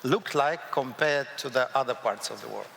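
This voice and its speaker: A man's voice: medium pitch, normal speed, gravelly, fairly bright tone. A man moderates a conference panel, putting short questions to the speakers. He sounds distant, and a long reverb hangs around his voice in a large hall. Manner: brief, questioning.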